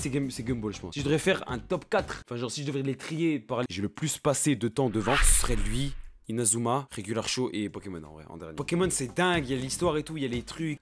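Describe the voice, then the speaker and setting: A young man giving casual, slangy commentary as a video creator, excitable, blunt and often repeating himself. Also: medium pitch. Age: young adult. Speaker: video creator presenting a tier list.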